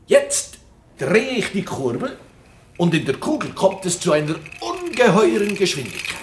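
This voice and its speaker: Wildly swooping visionary science teacher. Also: male voice, sits high, huge pitch swings, a little hiss or hum. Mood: awed, dramatic. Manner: dramatic, deliberate, with stretched words. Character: older, visionary science teacher demonstrating electricity, theatrical and mysterious. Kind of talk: German radio play monologue. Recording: roomy, echoing studio sound.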